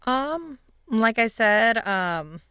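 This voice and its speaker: A woman's voice: a little high, very animated, extremely slow, pushed and bright, clean tone, no echo, clean studio sound. A woman speaking as a podcast guest in a casual, conversational style. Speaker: podcast guest, writers' room leader. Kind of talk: casual chat.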